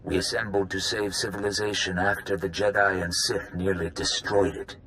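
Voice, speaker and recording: A man voicing a grave, ancient founder of a secret cabal, telling history deliberately in a video game. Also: deep voice, level tone, bright, forceful tone, a little hiss or hum. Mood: solemn. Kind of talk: video game cutscene dialogue.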